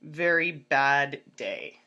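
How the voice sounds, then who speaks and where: A woman reading a children's picture book aloud in an expressive, emphatic storytelling manner, drawing words out for drama. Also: deep voice, extremely slow, gravelly, muffled recording. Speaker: storybook reader.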